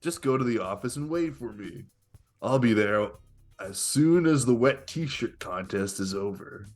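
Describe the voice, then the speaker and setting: A man's voice: mid-range voice, lively intonation, measured pace, a little mellow, faint music behind, close to an everyday voice. A man playing a smug, pushy pickup-artist guru in a comedic table read, with a coaxing salesman manner.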